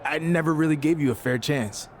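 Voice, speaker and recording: An actor playing an earnest, slightly wary champion wrestler in a plain-spoken, low-key way for a video game. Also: male voice, a little fast, a little hiss or hum, close to an everyday voice. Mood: hesitant, sincere. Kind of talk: naturalistic video game cutscene acting.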